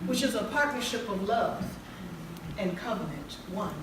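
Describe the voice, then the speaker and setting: A woman acting as an encouraging self-help counselor in a stage play, speaking in a measured, preachy way to her group. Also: medium pitch, expressive, natural voice. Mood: earnest, instructive. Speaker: counselor and author leading a support group. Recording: echoey hall, far mic, clear background noise.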